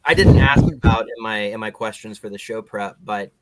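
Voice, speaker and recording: A man's voice: fairly low pitch, normal speed, a little hiss or hum, low-quality recording. A man hosting an interview show, asking long, opinionated questions in a conversational way.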